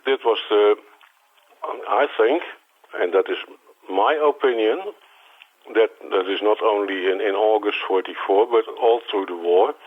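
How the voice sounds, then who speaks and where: Dutch guy in his 60s on a crackly phone line, low voice, keeps repeating himself. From Netherlands. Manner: halting, repeats words, restarts often. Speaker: interview guest. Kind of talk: phone interview. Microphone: telephone.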